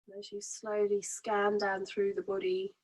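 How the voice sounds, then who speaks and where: A woman guides a meditation at a very slow, unhurried pace. Her voice is soft-edged and breathy, at a medium pitch for a woman. She gives each instruction gently and soothingly, as a relaxation teacher does. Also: completely flat delivery, low-quality recording.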